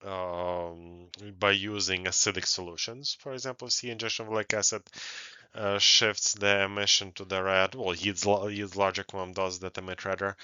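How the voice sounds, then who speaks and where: A man in his forties giving a scientific conference lecture in a halting, hesitant way with frequent pauses. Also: lowish voice, sharp tone, clean tone, no echo. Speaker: university researcher presenting. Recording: dull, muffled lo-fi audio.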